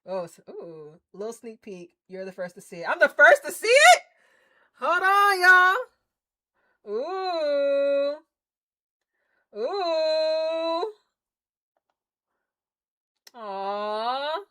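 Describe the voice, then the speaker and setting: A woman's voice: sits high, sing-song, very slow, long pauses, pushed and bright. A woman streaming a game, chatting and reacting in a casual, slangy, teasing way. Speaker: streamer playing a visual novel.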